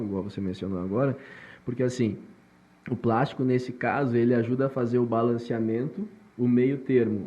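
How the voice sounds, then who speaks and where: A man's voice: fairly low pitch, mellow, muffled recording. A male podcast host who asks long, rambling questions full of restarts. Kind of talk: podcast interview.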